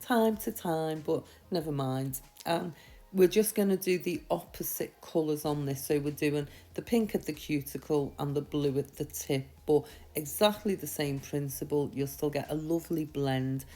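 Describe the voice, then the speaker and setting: A woman with a slightly croaky voice from a mild sore throat, giving a chatty, reassuring, step-by-step tutorial. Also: low-pitched, moderate pace, warm tone. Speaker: nail art tutorial host. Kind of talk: casual step-by-step tutorial voice-over.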